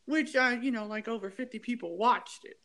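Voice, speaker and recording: Chatty higher-voiced woman hosting a show. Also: fairly quick, fairly bright tone. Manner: chatty and emphatic, with a ranting edge. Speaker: podcast host. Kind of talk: casual interview chat.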